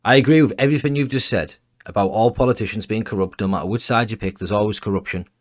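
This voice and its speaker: English man, very low voice, blunt argumentative commentary, frequent swearing. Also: fast talker. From England. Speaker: commentary channel host. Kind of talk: ranting commentary.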